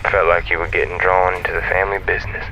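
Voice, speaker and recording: A man's voice: low voice, level tone, fast, sharp tone, slight background noise, poor audio. An actor playing a friendly, chatty, self-assured male space comms operator in a sci-fi audio drama, with a folksy, easygoing and playful manner over a radio link. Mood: friendly, curious.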